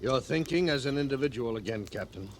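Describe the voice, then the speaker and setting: An actor playing a rigid, haughty army major in an old-time radio western, formal, stiff and indignant. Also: male voice, medium pitch, animated, brisk, natural voice. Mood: haughty, disapproving. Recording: slightly noisy vintage radio recording.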